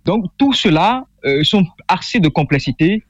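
A man's voice: high voice, big pitch swings, normal speed, no room sound, muffled recording. A young man from Benin, a guest author speaking earnestly with frequent hesitations. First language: Fongbe. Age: young adult. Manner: earnest, explanatory, many hesitations. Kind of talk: interview conversation.

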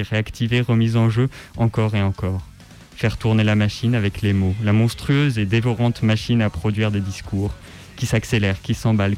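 A man reading a written text aloud on the radio in a measured, articulate, declamatory way. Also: on the low side, darkish tone. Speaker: radio reader. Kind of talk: scripted literary reading aloud.

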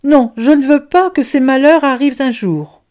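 A lonely male loner from a children's storybook, once gruff and now calling out in joy, as a woman reading aloud puts him on. The voice sits higher than a man's and bursts out loud and excited. It comes across as warm and mellow. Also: normal speed, natural voice. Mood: pleading, joyful. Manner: excited exclaiming.

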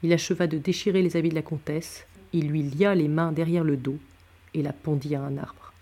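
A mellow-voiced, sombre nobleman musketeer from an adventure novel, acted out by a male reader. He is worldly and hard-drinking, with a bitter, sardonic edge and an abrupt way of talking. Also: medium pitch, steady tone, fairly quick, lightly acted. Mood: grim, sardonic.

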